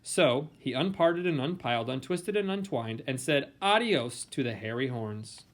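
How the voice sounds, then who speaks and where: A man reading a children's picture book aloud in a playful, theatrical way with dramatic emphasis and pauses. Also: mid-range voice, lively intonation. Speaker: storybook reader.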